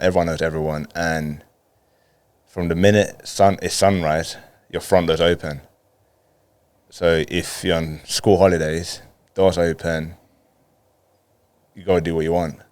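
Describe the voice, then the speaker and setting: A man's voice: low voice, clear, resonant tone, no room sound. A man in his thirties from Colombia, a native Spanish speaker, telling personal stories casually as an interview guest. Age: 30s. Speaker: podcast guest, footballer.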